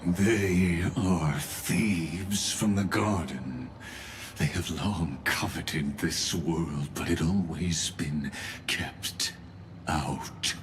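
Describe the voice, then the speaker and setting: A male voice actor playing a cold, courtly genius villain in a video game, speaking formally with measured, ominous courtesy. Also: deep voice, slight background noise. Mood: composed. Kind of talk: scripted video game dialogue.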